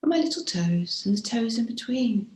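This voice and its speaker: A woman guiding a body scan meditation as a teacher, speaking gently and softly, unhurried, with frequent pauses and breathy phrase endings. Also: fairly high pitch, expressive. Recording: roomy, echoing room sound.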